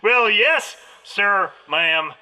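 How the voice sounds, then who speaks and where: A folksy, dim sheep farmer with a put-on Southern US accent, played by a woman. The voice is very sharp and forceful, with lively swings in pitch. The farmer rambles and halts crudely in a spoof of old radio drama. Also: drawn-out words, heavily acted, low-quality recording. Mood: rambling. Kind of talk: parody of 1930s radio drama.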